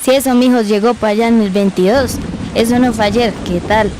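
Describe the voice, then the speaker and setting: A woman's voice: a little high, normal speed, background noise, lightly acted. A woman playing an old, plainspoken Colombian grandmother, a former housemaid telling her memories in an amateur radio drama. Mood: nostalgic storytelling. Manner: folksy rural storyteller speech.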